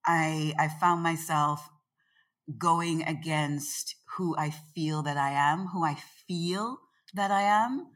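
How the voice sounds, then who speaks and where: A woman guest talking in a chatty, expressive way during a casual interview, speaking from Nevis. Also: deep voice, slow pace. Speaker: guest, organization founder.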